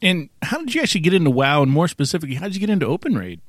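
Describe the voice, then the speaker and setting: High-pitched, lively man co-hosting a podcast. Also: racing, dry recording, studio quality. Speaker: podcast co-host. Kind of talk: casual interview chat.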